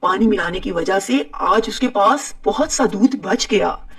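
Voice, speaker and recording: A woman's voice: medium pitch, expressive, unhurried, slightly rough, recorded from a distance. A woman narrating a children's moral tale in a scripted storytelling style.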